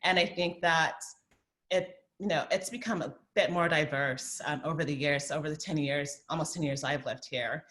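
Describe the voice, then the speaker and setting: A woman's voice: deep voice, a bit bright. A woman in her thirties from the American South, speaking thoughtfully at a community forum and answering questions, with frequent fillers. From Southern United States. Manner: thoughtful, many fillers, quick bursts. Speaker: guest speaker. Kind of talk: forum talk and Q&A.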